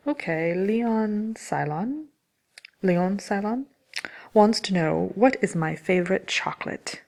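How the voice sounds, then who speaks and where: A woman voicing a haughty, devious wicked witch in a soft-spoken ASMR manner, with drawling, whispery phrases as she hosts a question-and-answer show. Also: medium pitch, lively intonation, gravelly. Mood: disdainful, sly. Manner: slow, deliberate, drawling, with whispery trailing phrases. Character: wicked witch, deviously gloating, haughty, fond of scaring children. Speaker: character host answering listener questions.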